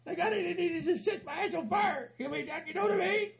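A man playing a frantic aging hillbilly husband with a Southern hillbilly accent, who stammers, rambles and laughs through his words while yelling and cussing. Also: fast talker, a crowd in the background. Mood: rambling upset. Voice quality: high-pitched and gravelly. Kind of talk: comedy sketch.

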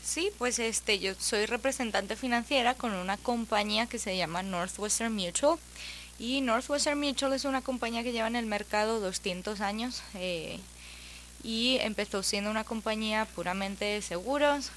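A woman with an accent from Spain appears as a guest on a radio show, explaining financial planning and pausing on fillers. Her voice is bright and forceful, with a faint hum behind her. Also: on the high side. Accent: Spanish (Spain). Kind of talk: radio interview.

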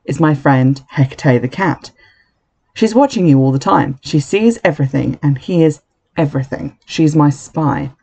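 A reader voicing a mysterious young woman teacher, quiet but firm and brisk, in a children's storybook. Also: mid-range voice, expressive, vocal fry, husky, full character voice.

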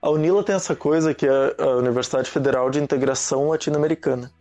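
A man's voice: mid-range voice, fast, mellow, faint music behind. A young man, a guest in a casual chat, telling stories in an easygoing, slangy way. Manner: easygoing storyteller, slangy, laughs often.

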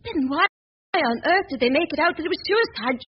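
A woman's voice: very high voice, lively intonation, brisk. An actress playing an earnest, anxious young woman in a 1940s radio drama, pressing with urgent questions. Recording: dull old broadcast recording with faint hiss.

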